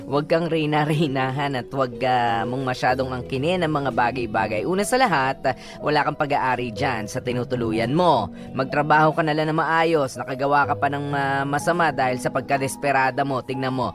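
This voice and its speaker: A man with a Filipino accent works as a radio co-host over a clear music bed. He talks casually, teasing and bluntly advising the listeners. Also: medium pitch, a little fast.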